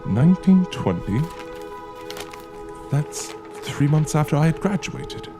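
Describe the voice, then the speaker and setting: A man playing a troubled, stubborn investigator in a period horror audio drama, speaking in a measured, formal and serious way. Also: a little high, sing-song, heavily acted. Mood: puzzled, troubled. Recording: music playing under the voice. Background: music.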